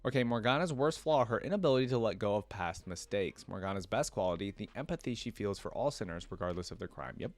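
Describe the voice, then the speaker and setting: A man's voice: on the low side, expressive, fast talker, hardly any pauses, dry recording. A man hosting a reaction video, reading aloud and commenting in an informal, fan-like way.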